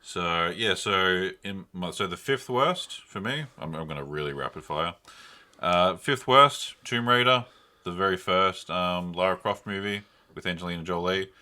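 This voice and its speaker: A man with a deep voice that still cuts through with a bright, forceful edge. He chats casually as a podcast co-host, swearing freely and leaning on slang. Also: normal speed.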